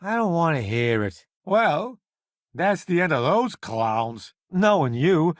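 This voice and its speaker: A man's voice: on the high side, sing-song, takes it slowly, no echo, studio quality. A man playing a flamboyant, wisecracking celebrity food critic turned spaceship crewmate in a video game, glib, theatrical and sardonic. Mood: sardonic, teasing. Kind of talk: video game character voice acting.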